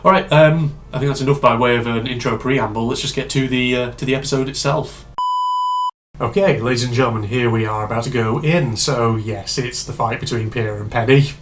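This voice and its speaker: A man chats his way through a reaction video, meandering and full of fillers. His voice sits at an ordinary middle pitch and sounds a touch hoarse and congested from a cold. A faint hum of noise sits behind him. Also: fairly quick. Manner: rambling, chatty, many fillers and asides. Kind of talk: casual reaction commentary.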